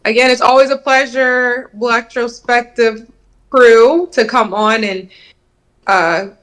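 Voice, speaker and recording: A woman's voice: fairly high pitch, steady tone, takes it slowly, fairly bright tone. A woman co-hosting a podcast, who sounds eager and friendly in casual chat. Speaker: podcast co-host.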